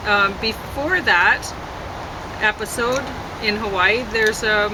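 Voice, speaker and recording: A woman talks casually and off the cuff, wandering through her thoughts with hesitant pauses. Her voice sits at an ordinary pitch. Loud outdoor ambience and passing traffic run behind her. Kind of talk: casual unscripted chat. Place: outdoors on a deck.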